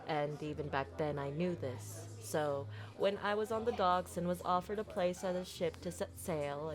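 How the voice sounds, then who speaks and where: A woman playing a flirty, silver-tongued pirate captain in a romantic ASMR roleplay, tender and sincere, with flowery, theatrical phrasing and deliberate pauses. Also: low-pitched. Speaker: audio roleplay performer. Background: background music.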